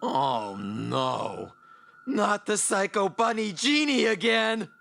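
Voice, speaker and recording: A man playing an excitable, incredulous ally in a fantasy adventure audio drama. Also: high-pitched, slow, gravelly, exaggerated voice. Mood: alarmed, pleading.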